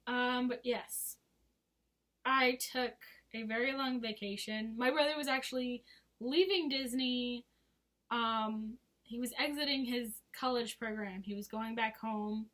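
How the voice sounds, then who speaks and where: A woman in her twenties, hoarse from losing her voice, chatting casually in a rambling, giggly vlog. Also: a little high, slow pace, a bit bright. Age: 20s. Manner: rambling and giggly, full of fillers. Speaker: vlogger. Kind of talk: casual chat to camera.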